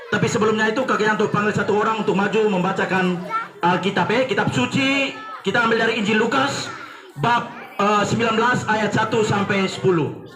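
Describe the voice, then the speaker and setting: A man's voice: high-pitched, steady tone, fairly quick. A man with an eastern Indonesian accent giving a simple, repetitive moral talk to children as a storyteller. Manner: addresses children simply, repeating key phrases. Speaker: storyteller speaking to schoolchildren. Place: echoey school room. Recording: echoey room with children's crowd noise.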